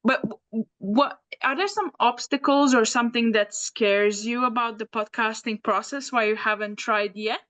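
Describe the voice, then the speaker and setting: A woman with a fairly high voice puts questions to a panelist. She probes in a friendly way, speaking over a video call. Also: moderate pace, few pauses, a bit bright. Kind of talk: interview questions. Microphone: online video call.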